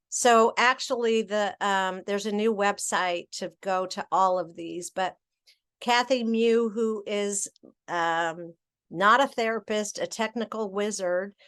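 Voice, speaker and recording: A woman's voice: mid-range voice, a bit bright. A middle-aged woman giving a training presentation over slides, chatty, with many fillers and self-corrections.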